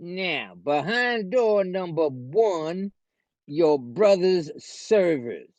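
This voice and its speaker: A man's voice: sits high, lively intonation, very slow, a little mellow, no echo, heavily acted. A man playing a cruel, arrogant sci-fi villain who gloats and taunts, in a screenplay table read. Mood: menacing, smug.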